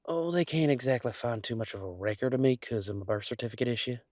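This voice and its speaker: A man playing an easygoing, affectionate country werewolf boyfriend, talking in a folksy, halting way in an intimate roleplay audio. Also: mid-range voice, expressive, a little mellow, dry recording, studio quality, close to an everyday voice. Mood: hesitant, uneasy. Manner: folksy, drawling slang, halting asides.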